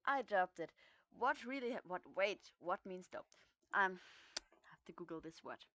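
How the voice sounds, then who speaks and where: A woman's voice: mid-range voice, animated, normal speed, fairly bright tone. A young woman with a German accent chatting hesitantly between voice recordings, with many fillers. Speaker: amateur voice actor. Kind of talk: casual recording vlog.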